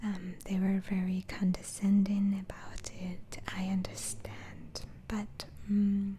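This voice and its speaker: A woman whispering softly in a slow, hesitant, soothing ASMR roleplay as a gentle, reassuring doctor. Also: mid-range voice, monotone, slight background noise. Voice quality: soft, breathy whisper.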